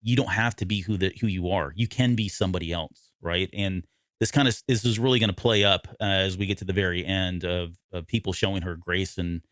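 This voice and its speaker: Low-voiced man in casual podcast discussion. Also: fairly even intonation, brisk, a bit bright, no echo, studio quality. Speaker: podcast co-host. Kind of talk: casual discussion of an audio drama.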